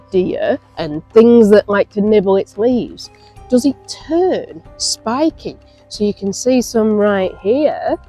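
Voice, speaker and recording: A woman's voice: on the high side, dark tone. A woman speaking informally as a woodland guide, explaining things simply outdoors. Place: outdoors in a wood.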